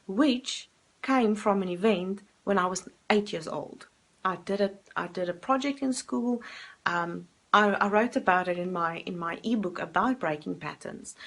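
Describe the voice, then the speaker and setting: A woman's voice: on the low side, poor audio. A woman, a coach talking straight to camera in a self-help video, earnest and direct, putting questions to herself and answering them. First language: Afrikaans.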